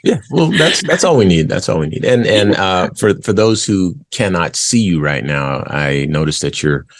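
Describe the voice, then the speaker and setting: A man's voice: deep voice, big pitch swings, normal speed. A man hosting an interview show, polite and wordy, with many short affirmations as he introduces his guest. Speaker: show host.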